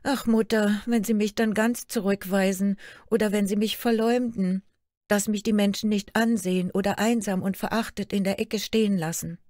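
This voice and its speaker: A woman's voice: fairly even intonation, normal speed, darkish tone, no room sound, studio quality, close to an everyday voice. Woman voicing plaintive fairy-tale girl Märchen. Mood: pleading. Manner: pleading, tearful and high.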